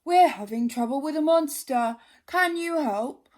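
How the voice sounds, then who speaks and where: Polite calm porcupine that a woman reads, matter-of-fact storybook voice, slow and even. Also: high-pitched, pitched above the performer's own voice.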